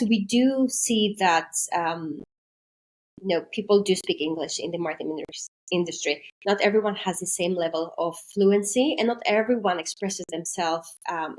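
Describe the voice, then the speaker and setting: A woman's voice: on the low side, darkish tone, low-quality recording. Woman explaining carefully. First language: Greek. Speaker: podcast guest, maritime trainer. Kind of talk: podcast interview.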